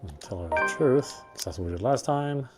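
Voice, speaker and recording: A man's voice: medium pitch, animated, normal speed, over music. A man giving casual gameplay commentary, thinking aloud in a chatty way. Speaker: game streamer and host.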